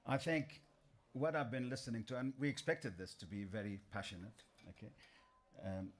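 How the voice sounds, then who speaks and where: A man, a businessman guest speaking in a measured, blunt way on a panel. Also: mid-range voice, animated. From Bahrain. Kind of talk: panel discussion.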